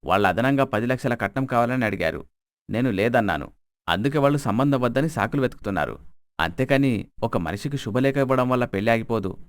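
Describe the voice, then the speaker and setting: A man voicing a stern, fair-minded older father of grown daughters in an animated moral story, firm and reproving. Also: medium pitch, fast, smooth, dry recording, clean studio sound, natural voice.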